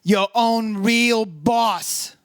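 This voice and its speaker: A man's voice: sits high, very slow, smooth, no room sound, clean studio sound. An actor playing a shrewd, brash insurance investigator in a radio detective play, slangy and clipped in his talk. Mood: accusing. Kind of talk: old-time radio detective acting.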